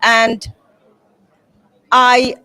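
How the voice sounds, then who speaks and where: A woman's voice: a little high, completely flat delivery, very slow, bright, forceful tone, clear, resonant tone. Woman, middle-aged, from the balkans, serbo-croatian native, conference interview, earnest, reflective. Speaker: materials writer and incoming association president.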